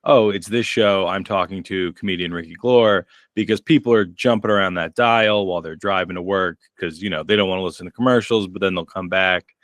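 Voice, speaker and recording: A man's voice: low voice, brisk, fairly bright tone, no echo, studio quality. A middle-aged man, a comedian co-hosting a podcast, chatting casually and rambling in a self-deprecating way. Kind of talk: casual chat.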